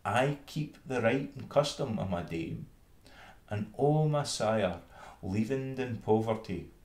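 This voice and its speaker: A man's voice: lowish voice, expressive, slow, a little mellow, reverberant. A man voicing a humble younger country mouse sister, earnest and homely, with a Scottish accent in a storybook verse. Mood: earnest, defensive.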